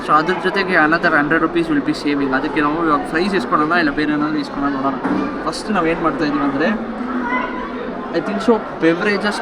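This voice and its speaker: Higher-voiced young man vlogging. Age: young adult. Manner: mixes English into speech. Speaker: food challenge vlogger. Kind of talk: casual vlog chat. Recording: loud music and crowd noise behind.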